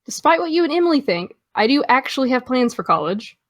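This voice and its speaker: A woman's voice: sits high, very animated, fast talker, dry recording. An actress playing a guarded, hungover teenage girl, dry, hesitant and defensive, in a naturalistic audio drama.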